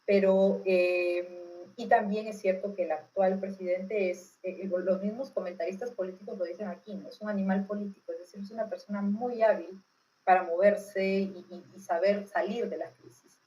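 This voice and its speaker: A woman's voice: mid-range voice, steady tone, moderate pace, mellow, low-quality recording. A woman from Peru with a Peruvian accent, explaining things informally as an interviewed expert, in a didactic way with frequent tag questions. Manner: didactic, often checking understanding with tag questions. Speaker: political expert being interviewed.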